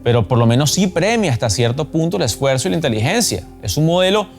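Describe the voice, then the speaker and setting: This is a man narrating a scripted explainer. His voice sits a little higher than most men's. Soft music runs underneath as he lays out points in the manner of a presenter. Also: sing-song, fast.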